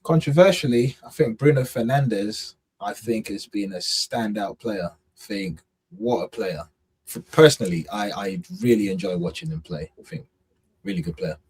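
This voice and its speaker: A man's voice: on the low side, moderate pace, darkish tone, husky, rough recording. A man giving casual punditry as a panelist on a football podcast.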